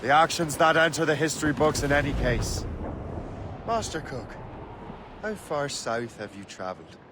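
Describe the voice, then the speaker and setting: An actor playing a terse, determined sea captain and assassin hunter in a video game cutscene. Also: male voice, a little high, expressive, moderate pace, lightly acted. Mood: bitter. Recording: noisy game audio with ship ambience.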